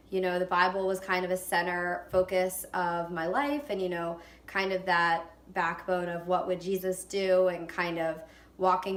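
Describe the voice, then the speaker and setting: A woman's voice: fairly low pitch, a bit bright. A woman in her twenties giving reflective interview answers, hesitant and full of fillers. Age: 20s. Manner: hesitant, with many fillers and hedges. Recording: echoey room.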